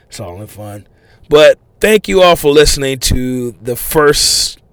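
A man hosting a casual, unedited podcast monologue, talking in a loose, rambling way full of fillers and restarts, his voice a little hoarse from overuse. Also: mid-range voice, no echo. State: losing his voice, a bit hoarse. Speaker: podcast host.

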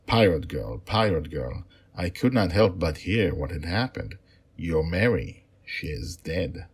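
A towering half-eagle general, once a villain, speaks softly and warmly, as a man reading the story puts him on. His voice sits very low and husky, soothing and unhurried in this storybook telling. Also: big pitch swings, heavily acted. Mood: consoling. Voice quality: deep. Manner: soft, warm, soothing.

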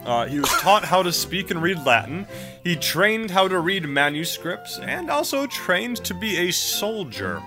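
A man's voice: high-pitched, big pitch swings, measured pace, music in the background. A man co-hosting a podcast, telling history stories casually with irreverent, profane, mock-dramatic asides and banter. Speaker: podcast co-host.

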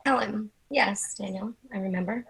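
A woman's voice: mid-range voice, moderate pace, creaky, breathy edge, poor audio. An actress playing an elegant, cold woman in her mid-forties in a screenplay reading, blunt and challenging. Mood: cool, testing. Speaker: actor in a screenplay readers theatre.

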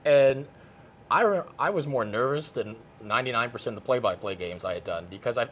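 Man, mid-range voice, casual podcast host, animated conversational delivery, self-deprecating, noisy ambience. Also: mid-range voice, fairly quick. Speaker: podcast host, play-by-play sportscaster.

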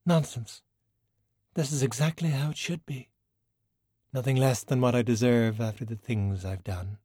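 A man voicing a poised, beautiful woman in an audiobook, speaking in measured, formal phrases with proud, wry self-pity. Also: mid-range voice, mellow, slightly rough, dry recording, clean studio sound, close to an everyday voice. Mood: quietly bitter. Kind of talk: audiobook character dialogue.

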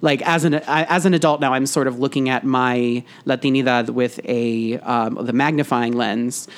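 A man's voice: medium pitch, steady tone, moderate pace, clear, resonant tone. A man speaking as a podcast guest, telling personal stories in a casual, reflective, explanatory way.

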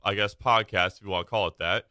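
A young man in college, a guest in a casual studio interview, with a deep voice and a relaxed way of talking with small slips. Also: fast, no room sound. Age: young adult. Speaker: student guest.

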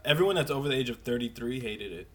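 A man's voice: mid-range voice, expressive, rapid-fire, slight background noise. A young man in his twenties co-hosting a podcast, joking and teasing his co-host in casual banter. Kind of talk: casual chat.

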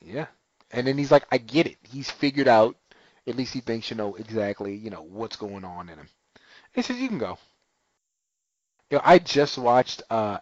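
A man chatting casually about a show, his pitch swinging widely as he retells scenes and slips into characters' lines. The recording is dry and a little dull, like a home podcast setup. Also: no echo. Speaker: TV recap podcaster. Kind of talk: casual chat, recapping an episode.

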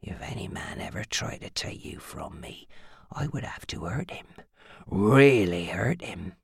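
A brutal, possessive man from an audiobook drama, acted out by a woman reader. He is hoarse and gravelly and full of menace, able to bark a demand or hiss it in a harsh murmur. The sound is close and dry. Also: very deep voice, lively intonation, a little slow, no echo, pitched below the performer's own voice, heavily acted. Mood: menacing murmur. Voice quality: hoarse harsh whisper, sudden barks. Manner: menacing, swings between barking and murmuring. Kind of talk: audiobook character acting.

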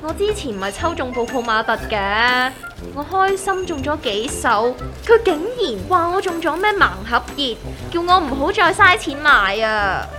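A woman's voice: high-pitched, big pitch swings, a little slow, music in the background. A woman with a Hong Kong Cantonese accent playing a gloomy, aggrieved young worker who whines and complains in a comedic audio drama. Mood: indignant complaining. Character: gloomy, aggrieved young woman worker griping about her strict grandpa.

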